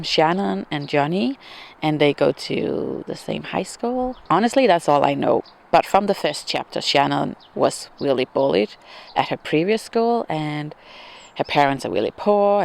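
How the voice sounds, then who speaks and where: Gravelly-voiced woman chatting about books. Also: low-pitched. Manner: chatty, hesitant with frequent ums and small laughs. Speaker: book vlogger. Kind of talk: casual book chat. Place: outdoors.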